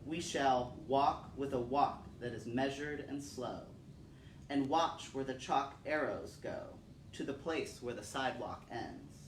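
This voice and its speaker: A man's voice: medium pitch, a little slow. A man hosting a storytime, reading poems aloud and talking to children. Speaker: storytime host. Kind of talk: poetry reading and talk for children. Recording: roomy, distant mic, faint noise.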